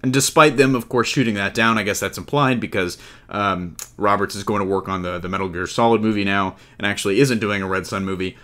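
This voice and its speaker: A man's voice: fairly low pitch, fairly even intonation, a little fast, pushed and bright. A man hosting a casual entertainment news discussion, talking in a conversational, joking way. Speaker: podcast host.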